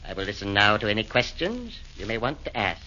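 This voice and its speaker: Pompous devil chairman, bright, 1940s radio drama. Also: fairly low pitch, big pitch swings, a little fast, highs cut off, a little hiss or hum, exaggerated voice. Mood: scheming. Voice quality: pompous, rhyming oratory.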